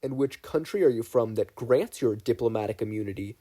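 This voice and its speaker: A man's voice: mid-range voice, brisk, warm tone, natural voice. Stern, curt government agent voiced by a man. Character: stern, suspicious government agents giving orders. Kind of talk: acted dialogue in story reading.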